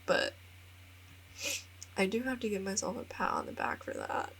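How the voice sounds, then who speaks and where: A young American woman in her twenties talks through a relaxed vlog voice-over. She rambles with plenty of filler words and laughs at herself. Her voice sits at a middle pitch, with a faint hiss of noise behind it. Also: moderate pace, vocal fry. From United States. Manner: self-deprecating, upbeat. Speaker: vlogger and English teacher in Japan.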